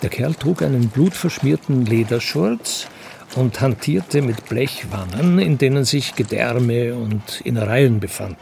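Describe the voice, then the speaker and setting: A man narrating a radio crime drama in scripted literary prose with a gravelly voice. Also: mid-range voice, lively intonation, measured pace, a little mellow, slight background noise. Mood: dry, descriptive.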